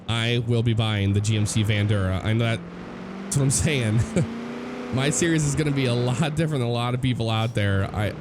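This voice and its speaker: A young American man with a cold-roughened, hoarse voice, rambling casually as he comments on a driving game. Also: medium pitch. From United States. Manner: rambling, relaxed, many fillers and restarts. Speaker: gameplay commentator. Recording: game car sounds loud behind voice. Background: car engine and road noise from the game.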